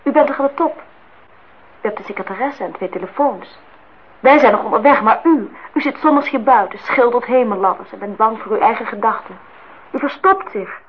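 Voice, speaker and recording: A woman's voice: on the high side, a little fast, natural voice. An actress playing an indignant, disillusioned young woman in a Dutch radio play, outspoken and reproachful as she speaks her mind. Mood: earnest, reproachful. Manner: reproachful, talks in long outpourings. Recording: dull, noisy old radio play recording.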